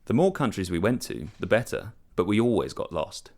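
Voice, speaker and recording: A man's voice: lowish voice, sing-song, a little fast, a little mellow. A man reading a short story as a scripted first-person narration.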